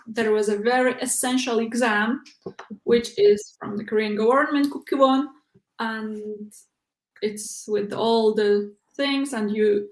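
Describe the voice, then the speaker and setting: Young Uzbek woman, halting speech, echoey. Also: a little high. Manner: halting, frequent "like" and "uh" fillers. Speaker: interview guest, PhD researcher. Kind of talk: casual conversational interview.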